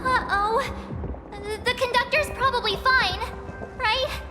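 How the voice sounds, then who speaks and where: Super high plucky game girl, easily flustered, big reverb, loud music. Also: takes it slowly. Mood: anxious. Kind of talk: animated game voice acting.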